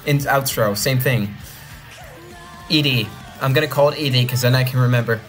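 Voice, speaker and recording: A young man whose first language is Dutch, rambling excitedly while reacting to an animation and thinking out loud. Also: medium pitch, normal speed, soft background music. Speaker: reaction video host. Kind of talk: casual reaction commentary.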